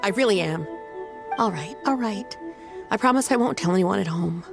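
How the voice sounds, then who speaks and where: An earnest young woman in a video game, speaks like a caring sister, plain and exclamatory. Her pitch is average for a woman, and music plays clearly behind her. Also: lively intonation, normal speed. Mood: resigned, caring. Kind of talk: scripted video game voice acting.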